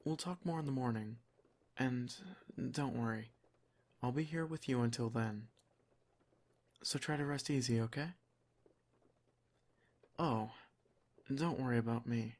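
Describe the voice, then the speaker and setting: Male vampire lord for ASMR roleplay, acted by a man: soft-spoken, possessive and protective, medium pitch, measured and gently commanding. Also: close to an everyday voice. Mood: soothing. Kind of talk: ASMR roleplay, scripted intimate monologue.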